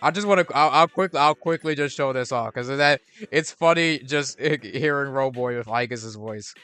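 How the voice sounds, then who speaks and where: A young man chatting over his gameplay in a bright, clear voice, rambling loosely and often restarting his thoughts. Game music plays faintly underneath him. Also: medium pitch, normal speed, no echo. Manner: rambling, with frequent restarts and fillers. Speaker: game streamer. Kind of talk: casual gameplay commentary.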